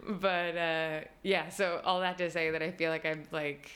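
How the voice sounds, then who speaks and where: A woman's voice: sits low, flat delivery, measured pace, a bit bright. A young woman being interviewed as a guest, chatty and casual, with plenty of fillers and frequent laughs. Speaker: costume designer, guest.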